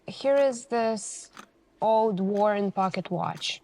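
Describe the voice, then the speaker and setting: A woman playing a calm, insistent police detective in an ASMR interrogation roleplay, speaking in a hushed, deliberate way with pauses between short phrases. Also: mid-range voice, creaky, slight background noise, exaggerated voice. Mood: ominous.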